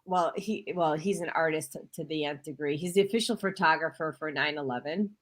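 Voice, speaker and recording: A woman's voice: deep voice, a little fast, fairly bright tone. A woman hosting a talk radio show, chatting casually with her guest and rambling with frequent restarts. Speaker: host.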